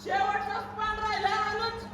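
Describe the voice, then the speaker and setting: A man's voice: very high voice, monotone, moderate pace, sharp tone, a faint crowd. A man with a rural Maharashtrian accent acting a meek, excuse-making master on a labour gang in a comic amateur play, placating with repeated short replies. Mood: flustered. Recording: echoey hall, distant mic, noisy audience.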